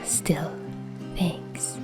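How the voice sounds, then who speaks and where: A woman plays a sullen, lovestruck teenage boy in an audio story. He is shy and hesitant, muttering his words and easily flustered, with soft music behind him. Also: very slow, poor audio. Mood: shy, embarrassed. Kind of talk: audio fiction reading.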